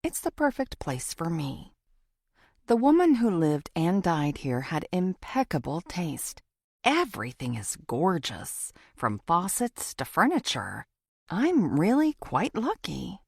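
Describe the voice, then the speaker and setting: Low, creaky-voiced woman narrating an audiobook. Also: wildly swooping pitch, takes it slowly, no room sound, studio quality. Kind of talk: scripted first-person thriller audiobook narration.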